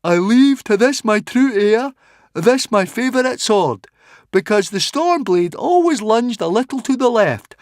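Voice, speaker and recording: A narrator plays an earnest young Viking boy in a storybook adventure. The voice is raised high and loud, pressing each point with hot-headed insistence. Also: lively intonation, unhurried, no echo, clean studio sound, lightly acted. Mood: solemn, urgent. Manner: insistent, argumentative.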